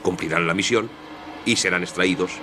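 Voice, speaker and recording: An actor in a radio drama playing a stern, solemn government chief with a husky voice and formal, commanding delivery. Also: male voice, low-pitched, normal speed, heavy background noise, close to an everyday voice.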